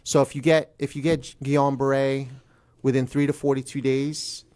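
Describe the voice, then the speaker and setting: A man's voice: medium pitch, level tone, normal speed, a little mellow. A man speaking conversationally as a guest attorney on a talk show, explaining things emphatically and repeating key phrases.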